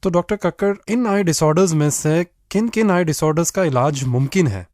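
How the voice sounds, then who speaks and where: A man's voice: on the high side, normal speed, a little mellow, dry recording. A man with an Indian accent hosting a radio interview, putting questions politely and formally.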